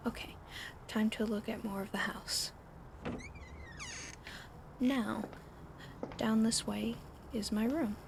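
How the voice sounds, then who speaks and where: A woman whispering an ASMR roleplay in a soft, soothing, coddling way over an audible fan hum. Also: a little high, steady tone, slow, vocal fry, pauses often.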